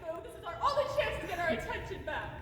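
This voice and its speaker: A woman voicing a feisty, exasperated little puppet adventurer, excitable and bossy, in an amateur comic puppet show. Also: very high voice, fast, a crowd in the background. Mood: urgent. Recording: noisy echoey hall, distant mic.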